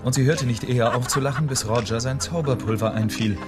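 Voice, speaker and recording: A man's voice: mid-range voice, a little fast, lightly acted. A man narrating a fairy-tale radio play in a scripted storytelling style. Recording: loud music under studio narration.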